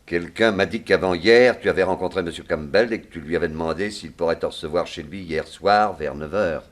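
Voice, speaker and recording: A small-town sheriff in an old French radio play. He has a low, deep voice and speaks with dry, matter-of-fact authority. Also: fairly quick. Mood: probing. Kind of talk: 1960s French radio drama acting.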